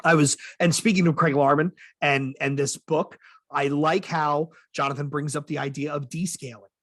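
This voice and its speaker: Higher-pitched man chatting, slightly husky. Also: fairly even intonation, moderate pace, fairly bright tone, no echo, clean studio sound. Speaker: podcast host. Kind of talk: casual podcast discussion.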